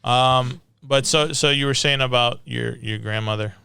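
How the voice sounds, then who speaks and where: A man's voice: mid-range voice, fairly even intonation, normal speed, vocal fry, sharp tone, slight background noise. A man hosting a podcast, interviewing casually with informal, probing questions. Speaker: podcast host.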